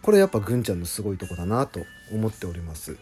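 A man's voice: lowish voice, sing-song, racing, dark tone, faint music behind. A man talking casually on a solo podcast in an effusive, emphatic way, drawing out his words. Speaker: host, original author of a radio drama.

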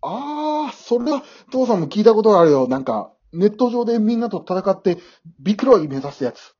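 A man's voice: high-pitched, sing-song, brisk, darkish tone, slightly rough, highs cut off, full character voice. A man acting in an amateur radio drama as an awkward, remorseful middle-aged father, hesitant and easily flustered. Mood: babbling, curious.

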